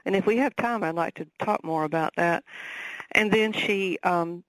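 A woman's voice: on the low side, moderate pace, no echo, low-quality recording. A woman with a Southern accent, an author speaking as a radio interview guest, thoughtful and full of fillers and restarts.

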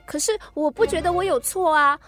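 High-voiced, defensive teenage girl. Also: fairly quick, over music. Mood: defiant. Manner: hesitant, pleading, stubbornly defiant. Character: earnest, defensive teenage schoolgirl daughter. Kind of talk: Mandarin radio drama acting.

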